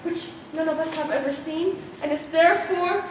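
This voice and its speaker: A woman's voice: extremely high pitch, steady tone, normal speed. A woman acting in a stage play as one of the women at a ward meeting. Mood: declamatory. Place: theater stage, far from the mic. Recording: echoey hall from far off, faint noise.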